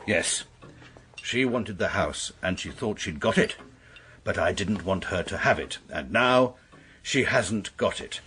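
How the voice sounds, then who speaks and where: An actor playing a formal, deadpan British barrister who narrates in a radio drama. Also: male voice, low-pitched, unhurried, slight background noise, heavily acted. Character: stiff, precise English barrister narrator, middle-aged man.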